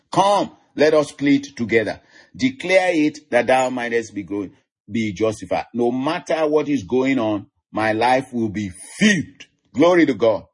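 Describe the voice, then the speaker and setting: A man with a Nigerian accent preaching an online sermon, laughing softly between lines and repeating declarations. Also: mid-range voice, slightly rough. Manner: chuckles often, repeats declarations.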